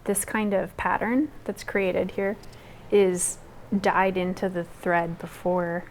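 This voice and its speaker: A woman's voice: fairly low pitch, flat delivery, slow pace, a little hiss or hum. A young woman textile artist from Guatemala whose first language was Spanish, speaking casually in an interview, with lots of fillers and restarts. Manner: rambling, many fillers and restarts.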